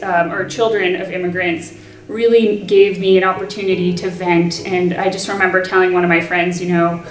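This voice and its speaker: A young woman in her twenties speaks earnestly and reflectively, pausing often with soft ums as she shares her own experience. She sits a little away from the mic, so her voice carries a roomy echo, and faint music plays underneath. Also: mid-range voice, far from the mic. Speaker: graduate student. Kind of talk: personal testimony.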